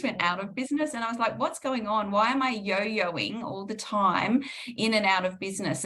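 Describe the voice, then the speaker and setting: A woman's voice: medium pitch, a bit bright. A middle-aged woman with an Australian accent, answering interview questions in a reflective way with frequent ums. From Perth, Western Australia. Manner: reflective, with many ums and restarts. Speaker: guest healing practitioner.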